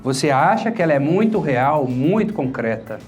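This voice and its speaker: A man's voice: fairly high pitch, very animated, normal speed, darkish tone. A man with a Brazilian accent giving an instructional lecture, explaining a method step by step in a didactic way and often answering his own questions. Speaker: hypnotherapist and instructor. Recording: music playing under the voice. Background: background music.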